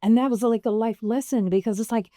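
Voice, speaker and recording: A woman with a relatively deep voice, a guest actress telling stories in an animated, laughing way. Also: quick pace, no room sound, studio quality. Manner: animated, laughs often, voice jumps up when excited. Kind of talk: casual interview storytelling.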